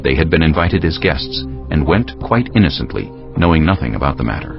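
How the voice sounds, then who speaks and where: A man narrating a dramatized scripture reading in a solemn, measured storytelling manner. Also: extremely low pitch, sing-song. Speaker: narrator of a dramatized audio Bible. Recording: dull lo-fi audio with background music.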